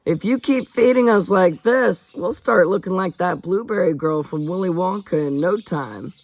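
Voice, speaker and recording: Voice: mid-range voice, normal speed, pitched above the performer's own voice, lightly acted. A narrator voicing an easygoing, playful boy with a casual, joking way of talking in a storybook. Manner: casual, upbeat.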